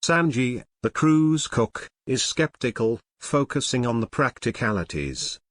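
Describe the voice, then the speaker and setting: A man's voice: mid-range voice, big pitch swings, a little slow. A man reading scripted narration for an audio drama.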